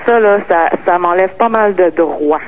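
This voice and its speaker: A woman's voice: fairly low pitch, measured pace. A woman from Quebec with a Québécois French accent calling in to a radio show, rambling and repeating herself. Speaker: caller. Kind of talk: call-in radio talk. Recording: muffled phone line with faint noise.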